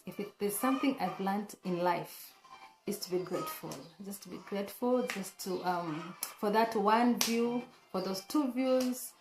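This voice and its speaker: Hoarse woman vlogging in an echoey room. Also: mid-range voice, darkish tone. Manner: chatty, earnest, many fillers and restarts. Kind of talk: casual talk to camera.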